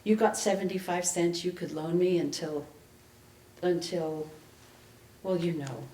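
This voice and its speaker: A woman's voice: fairly low pitch, fairly even intonation, unhurried, mellow, far from the mic, a little hiss or hum, lightly acted. A woman reading aloud as a brash, flirty small-town street woman of twenty-nine, with a drawl. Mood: coaxing. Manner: drawling, coaxing, sneering. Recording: echoey room, public event recording.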